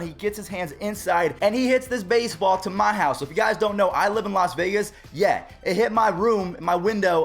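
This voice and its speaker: A man hosting a baseball channel chats to his viewers in a bright, upbeat way. His fairly high voice is a little raspy and hoarse from a cold. Faint music plays underneath. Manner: chatty, upbeat, addresses viewers directly. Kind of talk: casual vlog commentary.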